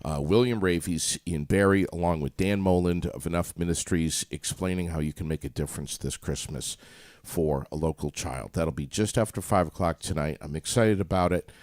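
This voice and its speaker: A man's voice: sits low, moderate pace, darkish tone, no echo, studio quality. A man hosting a casual morning radio show, upbeat and chatty with lots of fillers.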